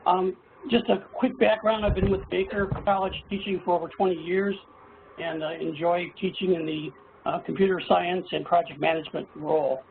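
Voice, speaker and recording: A middle-aged hoarse man, a college instructor, presenting haltingly with many fillers in an online workshop. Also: sits high, fairly even intonation. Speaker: college instructor presenting his action plan. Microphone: video call. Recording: tinny, phone-like call audio with faint noise.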